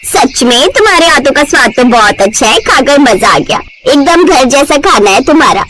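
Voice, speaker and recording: A woman plays a mother eating out with her family in a moral tale. She is blunt yet appreciative, in a fairly high voice. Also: sing-song, moderate pace, fairly bright tone, slight background noise. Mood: pleased.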